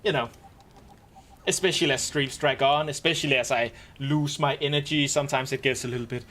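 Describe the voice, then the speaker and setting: A man live-streaming, gently and casually explaining game mechanics to his squad with wry asides. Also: mid-range voice, steady tone, brisk. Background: faint keyboard typing and clicks.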